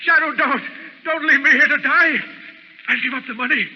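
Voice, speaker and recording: An actor playing a frightened, cornered criminal, pleading in old radio drama style. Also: male voice, very high-pitched, fairly quick. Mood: panicked pleading. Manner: pleading, panicked. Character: terrified, cornered criminal man begging for mercy. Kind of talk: 1930s-40s radio drama acting. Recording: dull vintage radio recording, long reverb.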